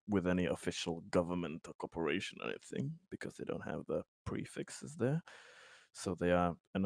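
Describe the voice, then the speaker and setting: A man playing an analytical, wary starship pilot in a tabletop game, reasoning aloud in measured phrases. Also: low voice, gravelly, dry recording, studio quality.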